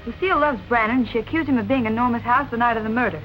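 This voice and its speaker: An actress playing a stubborn, determined young amateur sleuth, brisk and self-possessed, in 1940s film noir style. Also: female voice, on the high side, lo-fi sound, faint music behind, lightly acted. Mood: intent, probing. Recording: noisy old film soundtrack.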